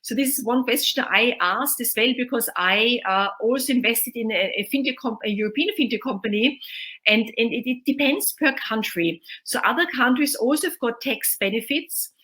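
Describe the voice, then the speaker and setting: A woman moderates a video-call panel. Her voice sits somewhat high, and she talks in a loose, chatty way, often saying "you know". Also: moderate pace, bright, forceful tone, rough recording. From Austria. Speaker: host and moderator.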